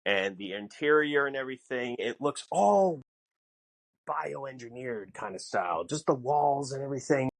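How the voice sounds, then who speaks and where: A man's voice: medium pitch, lively intonation, normal speed, creaky, no room sound. Dry-sounding man in casual podcast chat. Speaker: podcast co-host.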